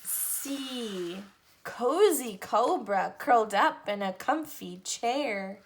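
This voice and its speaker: Female children's storyteller, higher voice, animated intonation, deliberate phrasing with pauses for an alphabet picture book. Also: a little hiss or hum. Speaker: read-aloud storyteller for children. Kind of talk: picture book read-aloud, teaching the alphabet.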